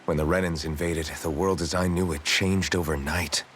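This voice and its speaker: A man's voice: low voice, steady tone, moderate pace, a little hiss or hum, natural voice. A man voicing a self-doubting, brooding young hero in an English video game dub, speaking in a subdued, reflective way. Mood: somber.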